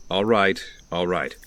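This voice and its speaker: A man acts a brooding masked vigilante with a very low voice. He is stoic and stubborn, delivering everything in an understated, matter-of-fact audio drama style. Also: sharp tone, slight background noise, exaggerated voice.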